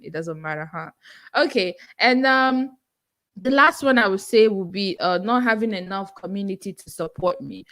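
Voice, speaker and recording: Podcast host, young woman, heavy Nigerian accent, earnest solo Q&A with lots of "um" and "you know.". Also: mid-range voice. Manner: earnest, self-encouraging, frequent fillers. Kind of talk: solo livestream Q&A.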